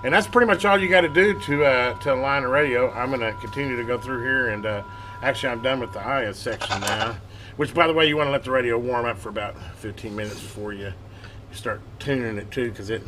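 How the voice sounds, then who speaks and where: A man's voice: mid-range voice, fast. A man hosting a hands-on electronics repair video, explaining step by step in an informal way as he works. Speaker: host of a hobby electronics repair channel. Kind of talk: casual hands-on demonstration. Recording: clear background noise.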